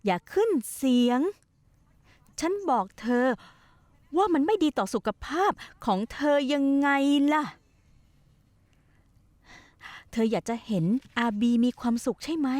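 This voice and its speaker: A woman's voice: high voice, a little mellow, no room sound. A woman dubbing a scheming young wife in a television drama, who talks in a coaxing, pushy and manipulative way. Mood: soothing. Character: scheming young wife plotting to run away. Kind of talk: dubbed television drama acting.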